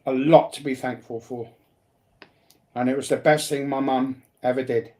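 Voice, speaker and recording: A man's voice: mid-range voice, fairly even intonation, moderate pace, warm tone. A man talking personally and bluntly, repeating phrases to drive his points home. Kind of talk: emphatic personal talk, partly confrontational.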